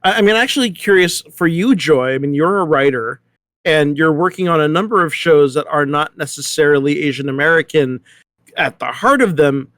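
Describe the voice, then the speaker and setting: Man, discussion host, slightly high voice, halting and thoughtful, dry close sound. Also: a bit bright, dry recording. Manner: halting, repeats words while thinking. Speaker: host moderating a panel discussion.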